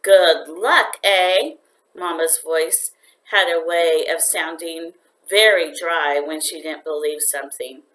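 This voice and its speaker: A woman reading a children's story aloud like a teacher, with expressive, deliberate narration. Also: sits low.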